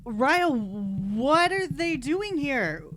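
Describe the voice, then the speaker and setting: A woman's voice: sits high, very animated, drawn-out words, fairly bright tone, a little hiss or hum. A woman playing a tabletop game, talking casually as she works out her moves.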